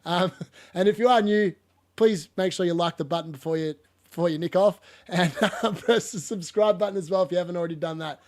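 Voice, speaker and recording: A man's voice: sits high, a little fast, darkish tone. A man hosting a casual football podcast, rambling and laughing often, still getting over a cough. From Australia. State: lingering cough. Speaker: podcast host.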